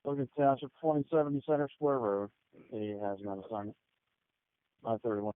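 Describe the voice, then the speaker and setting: A man's voice: medium pitch, normal speed, dry recording. A man dispatcher reading a formal emergency announcement over a two-way radio. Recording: narrowband emergency radio transmission.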